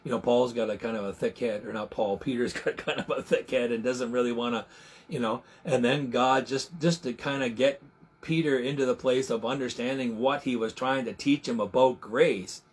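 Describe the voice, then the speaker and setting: A man in his sixties with a husky, medium-pitched voice teaches from the Bible in an informal sermon. He talks conversationally and haltingly, often doubling back to rephrase. He is recorded indoors in a small room at home, speaking to a camera. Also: fairly even intonation. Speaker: preacher.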